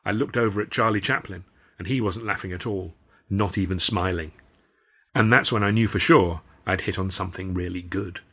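A man reading his own comic novel aloud as a first-person storyteller, in animated audiobook narration. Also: low voice, moderate pace, slightly rough.

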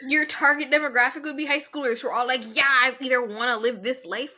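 A young woman with a fairly high voice talks casually as a podcast co-host. She is candid and opinionated, voicing imagined quotes as she goes. The audio is a little dull and muffled. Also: steady tone, quick pace. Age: young adult. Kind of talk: casual conversational discussion.

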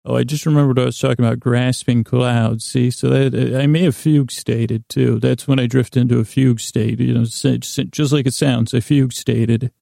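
A man hosting a podcast, rambling through a bedtime story monologue in a gravelly voice, halting and stumbling over his words as he meanders. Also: medium pitch, steady tone, dark tone, no room sound, clean studio sound. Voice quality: gravelly, creaky voice. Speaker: podcast host.

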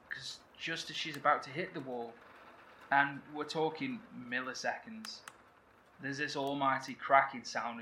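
An actor playing a man survivor in an audio horror drama, telling a story in a vivid, animated way. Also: medium pitch, slight background noise. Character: man survivor describing a strange void. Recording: roomy echo, faint ambience.

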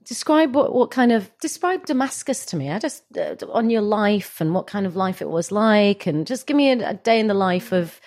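A woman's voice: medium pitch, lively intonation, moderate pace, hardly any pauses, darkish tone. Female interviewer, direct prompting questions, conversational.

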